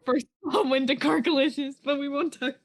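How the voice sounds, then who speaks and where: A woman banters casually as co-reader on a stream. Her voice is a bit high, and her manner is joking and self-deprecating. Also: fairly quick.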